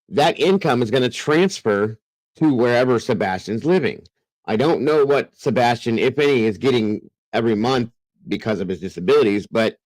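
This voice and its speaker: Low-voiced man hosting a casual livestream. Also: no echo, poor audio. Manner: rambling, conversational, breaks off to greet chatters. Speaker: livestream host of a true crime channel. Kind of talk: casual livestream commentary, greeting viewers.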